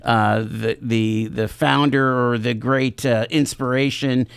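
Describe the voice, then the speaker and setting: A man hosting a live talk show from a studio, upbeat and quick with fillers. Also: medium pitch, fairly even intonation, vocal fry, clean tone, dry recording. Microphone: studio mic.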